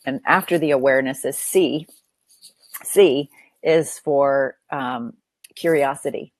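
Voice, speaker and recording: A deep-toned woman counselor talks in an earnest, affirming way. She leans on repetition to build each point. Her voice sits close and dry, with no room sound. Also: slow, dry recording. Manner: earnest, repeats phrases while building a point. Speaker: counselor and podcast guest. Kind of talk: podcast interview.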